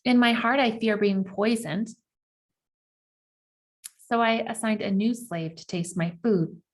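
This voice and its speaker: A woman reading a children's historical novel aloud in the first person, with expressive delivery and the odd aside to her listeners. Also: medium pitch, a little slow.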